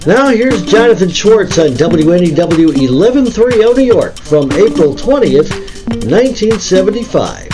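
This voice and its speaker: A man with a slightly high voice introduces archive radio recordings as the host. His narration is presented over clearly audible music. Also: big pitch swings, moderate pace.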